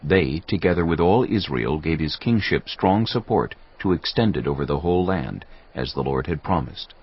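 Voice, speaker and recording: A man with a gravelly, husky voice giving solemn, measured scripted narration of a dramatized Bible. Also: low-pitched, highs cut off.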